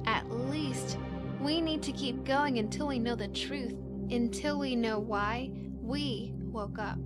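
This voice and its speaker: An actress playing a newly awakened young angel, earnest and questioning, in a dramatic visual novel game. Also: female voice, a little high, slow pace. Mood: hurt, resolute. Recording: loud music behind the voice. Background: music.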